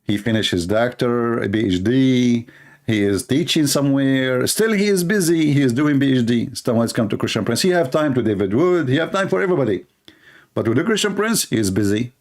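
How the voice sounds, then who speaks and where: A man's voice: mid-range voice, expressive, fairly quick, darkish tone. Sarcastic man. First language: Arabic. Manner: sarcastic, mocking, mimics others in funny voices. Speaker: live stream host. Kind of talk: mocking live stream monologue.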